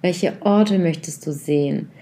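Woman, low voice, warm and mellow, guided meditation, slow reflective questions. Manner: soft, unhurried, series of reflective questions; addresses the listener directly and gently.

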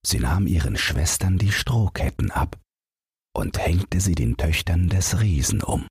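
Very deep, husky male storyteller. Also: expressive, measured pace, darkish tone, dry recording, clean studio sound. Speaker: audiobook narrator. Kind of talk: scripted bedtime fairy-tale narration.

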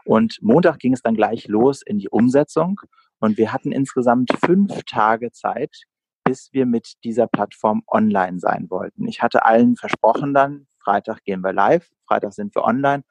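A man's voice: medium pitch, normal speed, creaky, darkish tone, breathy edge, no echo. A man in his late twenties from Berlin, answering interview questions conversationally as a guest entrepreneur. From Berlin, Germany.

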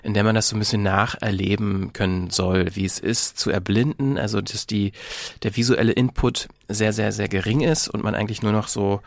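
Low voiced guy with some fry, talking thru stuff in a relaxed discussion, very dry recording. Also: a little fast, few pauses, no room sound. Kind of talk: casual panel discussion.

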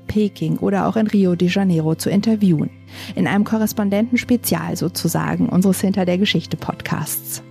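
A woman presenter reading a scripted podcast introduction with an even delivery. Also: on the low side, creaky.

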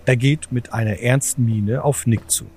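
A man narrating an audio drama in a formal, deliberate, literary storytelling manner. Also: medium pitch, fairly even intonation, a little mellow, slight background noise.

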